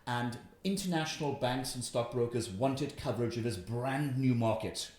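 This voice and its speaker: A man's voice: mid-range voice, moderate pace, a little mellow. Welsh man in his fifties, echoey room. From Wales. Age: 50s. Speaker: investment guest. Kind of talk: storytelling in an interview.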